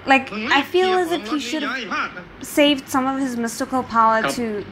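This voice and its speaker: A woman's voice: sits high, measured pace, crowd noise. A woman giving spontaneous reaction commentary as the host of a drama series reaction video. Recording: clearly noisy background.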